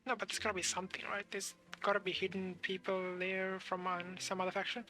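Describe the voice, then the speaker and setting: A woman's voice: mid-range voice, flat delivery, fairly quick, pushed and bright, soft background music. A woman streaming a video game, casually reacting and commenting as she plays.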